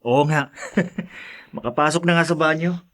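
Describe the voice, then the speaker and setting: An actor with a Filipino accent playing a young brother, casual and easygoing, in a podcast drama. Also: male voice, a little high, unhurried, a bit bright.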